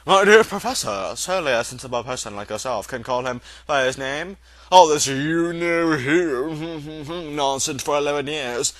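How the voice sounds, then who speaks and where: Old wizard, acted by a male reader: calm, courteous, gently amused, unhurried storybook delivery, clear forward tone. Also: fairly high pitch, lightly acted. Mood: patient.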